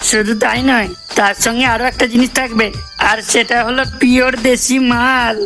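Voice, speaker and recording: A man's voice: very high voice, little pitch movement, normal speed, pushed and bright, exaggerated voice. A man voicing a crude, boastful club guard who swears freely in a comedy cartoon. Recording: faint music behind the voice.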